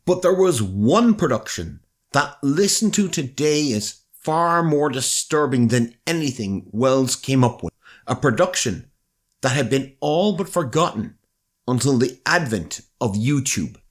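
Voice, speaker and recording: Irish male presenter, mid-pitched, scripted documentary narration, measured dramatic storytelling. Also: lively intonation. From Ireland.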